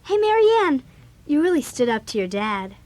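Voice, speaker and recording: High, animated, blunt preteen girl. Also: moderate pace. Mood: earnest. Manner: blunt, eager, chatty. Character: outspoken preteen girl club leader and storyteller. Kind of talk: children's audio drama acting.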